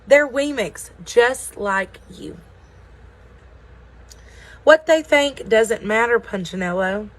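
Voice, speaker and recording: A woman voicing a kindly, fatherly bearded craftsman in a storybook, speaking gently and thoughtfully. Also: a little high, animated, low-quality recording. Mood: reassuring. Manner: thoughtful, slow, gentle, reassuring.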